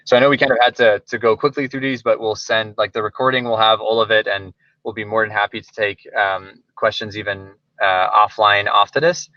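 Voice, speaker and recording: A man's voice: fairly low pitch, steady tone, brisk, pushed and bright, no room sound. A man explaining things in a webinar presentation, informal and hesitant, often leaning on filler words as he works through his points. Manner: informal, hesitant phrasing with frequent "like". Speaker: software company presenter. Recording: slightly dull lo-fi webinar audio.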